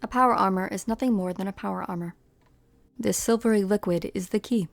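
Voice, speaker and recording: A woman's voice: mid-range voice, a little fast, gravelly, dry recording, soft background music, natural voice. Woman acting a composed scientist, dry close sound. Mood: calm, matter-of-fact. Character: composed female scientist with mineral powers, coolly explanatory. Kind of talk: amateur fan-dub game acting.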